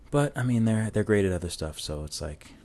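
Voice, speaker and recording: A man narrating a drawing tutorial as a casual voice-over, talking in a relaxed, rambling way with many restarts, recorded on a lavalier mic plugged into a phone. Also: fairly quick. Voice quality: low voice.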